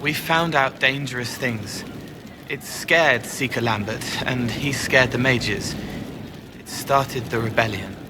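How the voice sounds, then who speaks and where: An actor playing a haunted, gentle young male spirit in a fantasy video game, speaking in halting, poetic fragments. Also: medium pitch, lightly acted. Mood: sad, wistful. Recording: game audio with noisy ambience behind.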